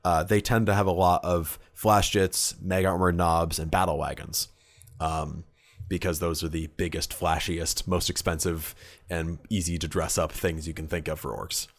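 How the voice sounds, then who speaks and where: A man's voice: sits low, level tone, normal speed. A man co-hosting a podcast, chatting casually and enthusiastically in a geeky, conversational way. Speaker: podcast co-host. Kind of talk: casual hobby podcast chat.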